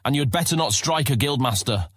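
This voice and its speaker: Defiant young guildmaster. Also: male voice, mid-range voice, expressive, fairly quick, fairly bright tone, no echo, studio quality. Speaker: voice actor. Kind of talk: audio drama acting.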